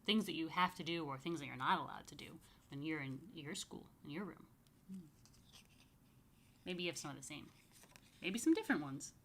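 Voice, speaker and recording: Woman storyteller for young families, bright tone, conversational and explanatory, stressing words for children. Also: low voice, animated, normal speed, pauses often, slight background noise. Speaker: rabbi reading a story to children. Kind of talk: read-aloud storytime with casual asides to young children.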